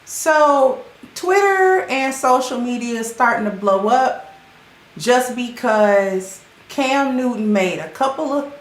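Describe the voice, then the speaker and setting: Slow-talking hoarse woman host. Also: on the high side, expressive. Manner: chatty, greets viewers by name. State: sounds hoarse. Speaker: channel host. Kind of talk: casual livestream commentary. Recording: roomy echo with faint music.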